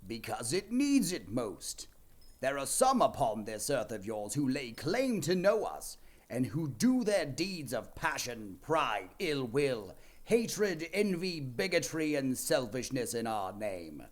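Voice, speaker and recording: A man playing a jolly, commanding giant spirit in an audio play, declaiming slowly and grandly. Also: sing-song. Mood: solemn. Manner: booming, slow, grandly declaiming. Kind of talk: theatrical audio play acting.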